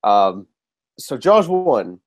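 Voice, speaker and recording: A man's voice: mid-range voice, big pitch swings, drawn-out words. A man hosting a casual movie podcast, slightly nasal from a cold, chatty and swearing casually. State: getting over a cold, a bit nasal. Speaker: podcast host.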